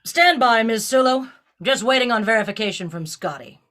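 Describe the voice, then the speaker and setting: An actress playing an authoritative, guarded female starship captain in an audio drama, giving crisp, imperious orders. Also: fairly high pitch, animated, moderate pace, fairly bright tone. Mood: commanding.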